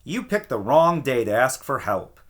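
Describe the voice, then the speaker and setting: A man doing a sharp, curt townswoman. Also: medium pitch, sing-song, moderate pace. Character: impatient busy townswoman, annoyed.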